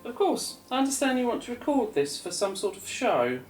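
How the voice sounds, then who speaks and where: A woman's voice: on the high side, big pitch swings, fairly quick, a little mellow. A woman playing a hospitable but guarded older retired farmer in an audio drama. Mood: polite, welcoming. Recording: roomy, distant from mic, faint music.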